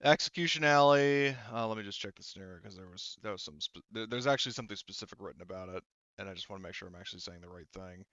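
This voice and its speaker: A man with a low, smooth voice runs a tabletop game as game master. He talks conversationally, rambling through his narration with lots of fillers and casual swearing. The sound is dry and close, a little dull. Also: fast, fairly bright tone, dry recording.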